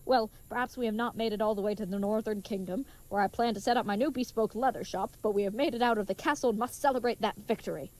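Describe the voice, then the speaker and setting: A woman's voice: fairly high pitch, fast, hardly any pauses, a little mellow, lightly acted, low-quality recording. A woman playing a big, earnest, dim-witted young knight in an audio drama, speaking in florid, old-fashioned, chivalrous phrases. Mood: cheerful, courtly.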